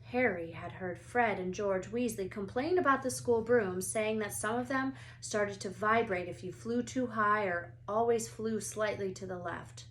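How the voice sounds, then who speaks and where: A woman's voice: mid-range voice, measured pace, hardly any pauses, a bit bright, a little hiss or hum. A woman reading a children's story aloud as narrator, expressively, like a teacher to pupils.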